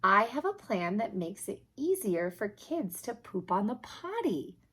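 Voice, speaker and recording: Woman reading a patient, reassuring doctor, slow and animated. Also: medium pitch, breathy edge, heavily acted. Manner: patient, explanatory, encouraging. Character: kindly, reassuring children's doctor. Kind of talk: children's picture book read aloud.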